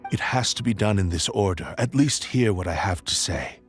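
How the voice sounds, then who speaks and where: A man's voice: lowish voice, moderate pace, slightly rough, soft background music. A man playing the proud, solemn spirit of a cursed samurai sword in a video game, speaking in formal, archaic phrases. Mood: stern, bargaining. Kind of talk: dramatic video game voice acting.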